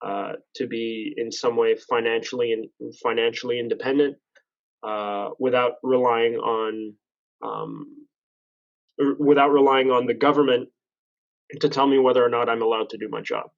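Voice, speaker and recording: A man's voice: mid-range voice, expressive, normal speed, gravelly, muffled recording. A man in his twenties from Canada, chatting as a podcast guest, rambling and correcting himself as he goes, laughing at himself now and then. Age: 20s. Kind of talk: casual chat.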